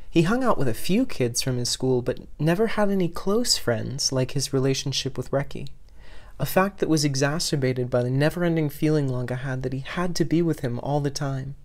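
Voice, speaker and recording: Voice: mid-range voice, darkish tone. A narrator of unclear sex reading scripted fiction expressively as a podfic reader.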